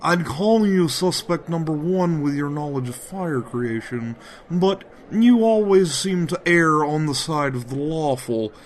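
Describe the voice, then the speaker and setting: Male actor as scheming magician, slow deliberate delivery, slightly high pitch, formal wording, comedic fantasy audio drama. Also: a little hiss or hum, lightly acted. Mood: smooth, formal. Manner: formal, measured, deliberate phrasing. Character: formal, calculating male magician offering a deal.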